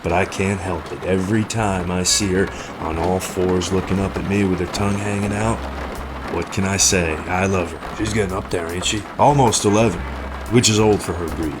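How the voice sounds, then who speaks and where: A man playing a gruff detective in a noir parody, quietly confiding. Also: sits low, steady tone, normal speed, noisy background, close to an everyday voice.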